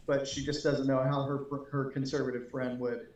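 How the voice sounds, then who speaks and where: A man's voice: medium pitch, flat delivery, normal speed, darkish tone, lo-fi sound. A man co-hosting a casual chat, speaking hesitantly and building his thoughts in short pieces. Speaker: show co-host and writer. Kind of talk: casual chat, describing characters. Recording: roomy, echoing sound.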